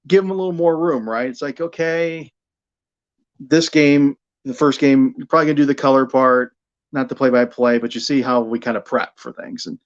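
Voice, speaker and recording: Middle-aged American man, slightly dull audio. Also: mid-range voice. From Buffalo, New York, United States. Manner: halting, repeats words while thinking. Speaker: guest, radio sports broadcaster. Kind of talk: casual interview conversation.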